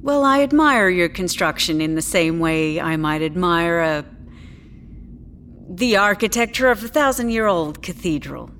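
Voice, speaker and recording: A futuristic clinic doctor in an audio drama, with a fairly low female voice. She is measured and professional, hesitating politely, with tactful condescension. Faint ambient noise runs behind her. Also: animated, a bit bright. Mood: politely dismissive.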